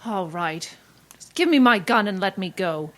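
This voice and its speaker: A woman's voice: medium pitch, lively intonation, moderate pace, a bit bright, close to an everyday voice. A woman playing a hot-tempered, haughty young woman in her twenties in an old-time radio drama, speaking in a clipped, theatrical way. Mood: outraged. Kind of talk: old-time radio acting before a live audience.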